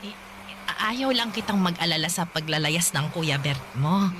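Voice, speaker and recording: A woman playing a poor, protective old grandmother in a Tagalog radio drama, halting and scolding as she talks. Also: lowish voice, animated, noisy background. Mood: worried, reluctant. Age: older.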